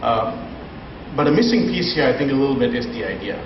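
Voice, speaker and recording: A man's voice: mid-range voice, animated, brisk. A man from Sri Lanka speaking on a conference panel, telling his story informally with many fillers. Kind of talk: panel talk. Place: conference room. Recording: dull, roomy, distant, noisy.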